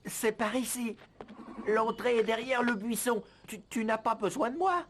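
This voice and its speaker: Woman voicing an eager, high-voiced cartoon boy squire. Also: moderate pace, slight background noise, lightly acted. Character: young boy squire, excitable, anxious and eager. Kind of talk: French-language cartoon dubbing.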